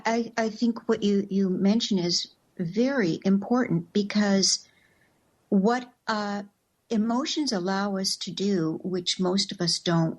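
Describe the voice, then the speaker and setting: A woman speaking as a radio show guest, explaining in a thoughtful, measured, conversational way. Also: medium pitch.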